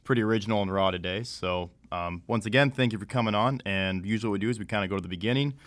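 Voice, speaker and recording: A man's voice: lowish voice, rapid-fire, fairly bright tone, no echo. A man hosting a casual interview podcast, asking loose, friendly questions. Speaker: podcast host.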